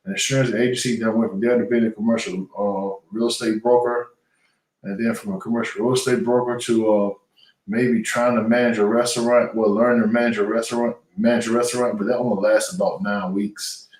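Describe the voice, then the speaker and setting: A man's voice: on the low side, level tone, fairly quick, darkish tone. A middle-aged man, a retired boxer now in business, answering interview questions in an earnest, conversational way with frequent fillers and restarts. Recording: echoey room audio, voice from far off the mic.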